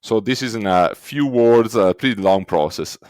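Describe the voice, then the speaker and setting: A man's voice: fairly low pitch, expressive, moderate pace, a little mellow, no echo, studio quality. A man with a thick Italian accent, a guest in an interview, explaining things step by step with frequent "uh" fillers. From Italy. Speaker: guest, records indexing team lead. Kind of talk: conversational interview.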